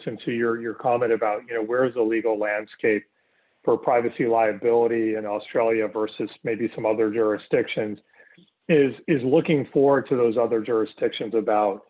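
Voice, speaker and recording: Hoarse male panelist, hesitant delivery, tinny phone-line sound. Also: mid-range voice. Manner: hesitant, frequent restarts. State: losing his voice. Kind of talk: webinar panel answer.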